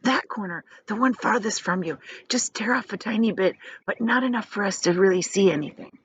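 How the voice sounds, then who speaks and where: A woman voicing an earnest, gentle young grandson in a storybook, hushed and whispery. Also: medium pitch, fairly quick, breathy edge. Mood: nervous, encouraging.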